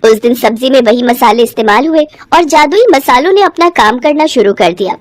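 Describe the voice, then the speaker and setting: A woman's voice: fairly high pitch, expressive, fairly quick, slight background noise. A woman narrating a scripted bedtime story as the storyteller.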